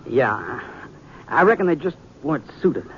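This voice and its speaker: A resolute young sheriff of the old west played by a male actor, American and plain-spoken. He sounds earnest. The old radio recording is dull, with faint rumbling noise. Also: big pitch swings, moderate pace, lightly acted. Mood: earnest, wistful. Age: young adult. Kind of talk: 1950s radio western acting.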